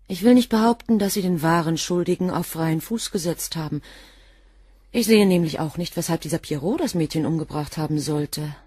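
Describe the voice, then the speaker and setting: Guarded, husky, coolly defiant assistant. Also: female voice, low voice, warm tone, no room sound, studio quality. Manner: precise, cool, clipped answers. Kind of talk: German crime radio play dialogue.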